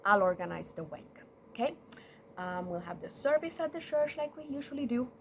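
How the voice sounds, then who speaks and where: A woman's voice: on the high side, expressive, normal speed, slight background noise, pitched above the performer's own voice. A woman voicing a greedy, two-faced male rat in a story, gushing, sly and theatrical. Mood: organizing. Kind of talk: live oral storytelling.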